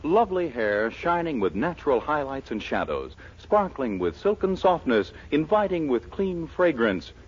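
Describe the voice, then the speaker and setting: A man's voice: fairly high pitch, very animated, moderate pace, few pauses, slightly rough. A man working as a radio show announcer, reading scripted sponsor copy in a polished, salesman-like 1940s style. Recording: dull 1940s radio broadcast recording.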